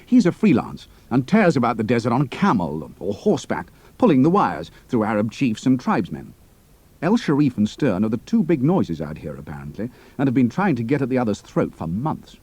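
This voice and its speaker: An actor playing a cool, capable young pilot and spy, speaking in a clipped, matter-of-fact way. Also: male voice, mid-range voice, huge pitch swings, fairly quick, a little mellow, slightly rough, no room sound. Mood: earnest briefing.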